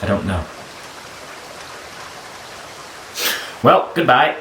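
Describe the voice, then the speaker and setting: A man acts an impulsive young gentleman, hopelessly in love and wounded. His dramatic, impassioned voice leaps widely in pitch, recorded in a roomy echo with faint hiss, as in an audio play. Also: big pitch swings, brisk. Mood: wounded, resigned.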